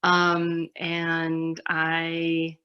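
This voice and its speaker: A young woman from Minnesota co-presenting a webinar, calm and reflective with some hesitations. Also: low voice, extremely slow, muffled recording. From Minnesota, United States.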